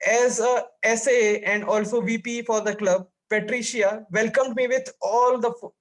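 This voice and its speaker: A man with a high voice leads an online meeting over a video call. He speaks politely, with formal, careful phrasing. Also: fairly even intonation, a little slow, poor audio. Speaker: meeting toastmaster and general evaluator.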